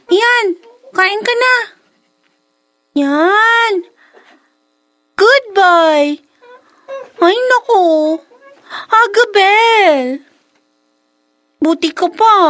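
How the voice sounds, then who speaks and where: A woman's voice: extremely high pitch, drawn-out words, pauses often, fairly bright tone, dry recording, studio quality, full character voice. A woman playing a tender ten-year-old girl devoted to her dog in a Filipino radio drama. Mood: fond, wistful.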